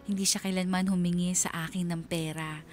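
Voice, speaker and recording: Woman acting low-voiced, reflective daughter narrator. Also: flat delivery, a little fast, soft background music, close to an everyday voice. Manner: reflective, earnest. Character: earnest, dutiful young nurse daughter narrating her story. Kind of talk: first-person radio drama narration.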